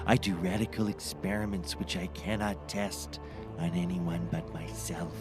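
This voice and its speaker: A man's voice: sits low, steady tone, measured pace, music in the background. A man playing a grave, troubled mad scientist in a scripted comedy, formal and solemn. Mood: formal, ominous.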